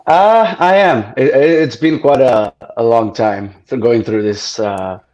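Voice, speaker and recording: A man's voice: mid-range voice, measured pace, a little mellow, muffled recording. A man from Italy, a native Italian speaker, talking as a guest musician in an interview, hesitant and full of fillers as he searches for words. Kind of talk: casual interview.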